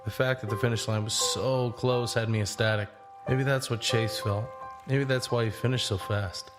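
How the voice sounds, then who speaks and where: An actor playing a young long-distance traveler, narrating in the first person in an understated, introspective way for an audio drama. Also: male voice, mid-range voice, steady tone, moderate pace, close to an everyday voice. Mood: reflective. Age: young adult. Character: reflective young long-distance walker telling his journey. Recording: prominent music bed under the voice.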